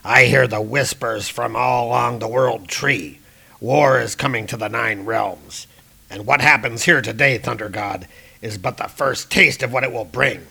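A man voicing a gruff, menacing male troll king warrior from a comic, with a gravelly voice. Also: medium pitch, bright, forceful tone, full character voice.

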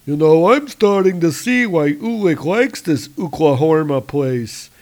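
A man voicing a crude, hungry male troll from a comic, pitched up and gloating, in a loud, brutish manner. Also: expressive, unhurried.

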